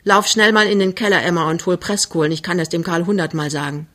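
Woman playing a loud, scolding old working-class mother. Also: mid-range voice, fairly even intonation, fast, lightly acted. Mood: gruff, irritated. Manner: scolding, blunt, shouting outbursts. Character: harsh, suspicious old working-class mother, quick to anger.

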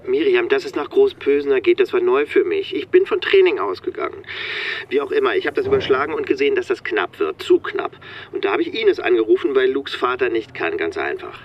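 Guy playing stressed pedantic manager dad in a radio drama, very lively intonation, fussy and officious on the phone. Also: medium pitch, a little fast, muffled recording, a little hiss or hum, close to an everyday voice. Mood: defensive, pedantic. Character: harried, pedantic car-industry manager and father on the phone. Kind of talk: naturalistic German radio drama dialogue. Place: phone calls made while driving a car.

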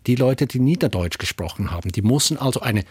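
A man's voice: mid-range voice, lively intonation, fast talker, a little mellow, slightly rough, no room sound. A man from Switzerland with a Swiss German accent, a dialect expert explaining at length, thoughtful and hesitant with many fillers and restarts. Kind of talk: explanatory talk-show conversation.

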